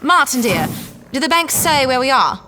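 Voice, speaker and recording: Posh breezy space wife, high bright voice, lively, long reverb, music behind, as a woman plays her. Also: normal speed, slight background noise, heavily acted. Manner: plummy, breezy. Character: posh cheerful spacefaring wife, brisk and unflappable. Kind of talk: audio drama acting.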